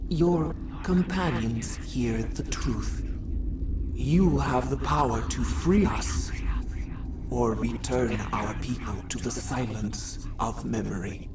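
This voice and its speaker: An alien insect queen from a video game, speaking through a borrowed body. The voice is low and extremely slow, halting and trance-like. Her phrasing is solemn and poetic, over clear ambient noise. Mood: pleading, solemn. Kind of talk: video game cutscene acting.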